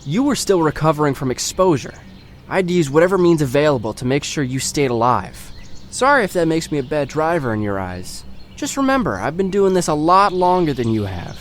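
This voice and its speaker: An assured, earnest male guide played by an actor in a fantasy audio drama. His voice is slightly high for a man and his phrasing solemn and formal, with faint noise behind. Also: big pitch swings, brisk. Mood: wry, defensive.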